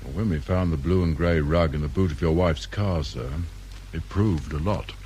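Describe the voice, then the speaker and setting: A man acting a calm, probing police inspector with a British accent in a radio crime drama, speaking in a measured, politely firm way. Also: a bass voice, breathy edge, full character voice. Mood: grave, measured.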